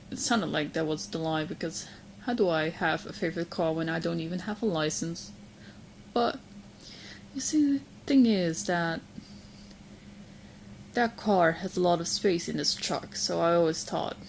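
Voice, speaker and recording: A woman acting as a lovesick yandere witch with a fairly low, young voice. She talks sweetly and playfully to her beloved in an ASMR audio roleplay, possessive underneath the cuteness. Noticeable background noise sits behind her. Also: gravelly, low-quality recording. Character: sweet, romantically obsessed yandere witch girlfriend. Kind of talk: ASMR roleplay audio drama, spoken to the listener.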